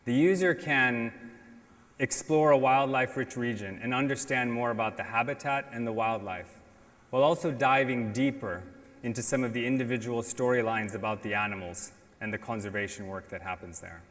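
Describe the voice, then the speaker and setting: Middle-aged male conference speaker, measured delivery, big echoing auditorium. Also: medium pitch, fairly bright tone. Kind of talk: prepared stage presentation. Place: large indoor auditorium stage. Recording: reverberant hall, stage talk.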